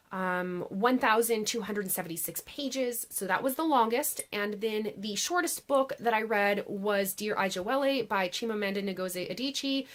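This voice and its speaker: A woman hosting her own book channel, chatting casually to camera with a raspy, congested voice after a long day of filming, with frequent restarts and "like"s. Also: medium pitch. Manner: chatty, restarts sentences, many "like"s. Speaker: BookTube host. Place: home filming setup beside a laptop.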